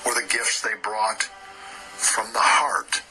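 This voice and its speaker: An American man reading a rhyming poem as a story, measured, with pauses between short phrases. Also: mid-range voice, level tone. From Ohio, United States. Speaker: amateur poet. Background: loud music.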